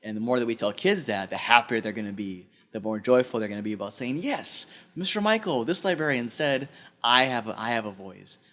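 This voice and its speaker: A man's voice: medium pitch, animated, brisk, bright, forceful tone. A man, a librarian, speaking earnestly in a podcast interview and repeating phrases for emphasis.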